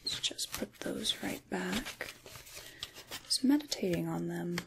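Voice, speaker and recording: A woman playing an ancient, kindly witch in a soft-spoken ASMR role-play, with breathy, whispery speech and a musing, wry, gently reassuring manner. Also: fairly low pitch, wildly swooping pitch, a little slow, background noise, heavily acted. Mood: calm, wry.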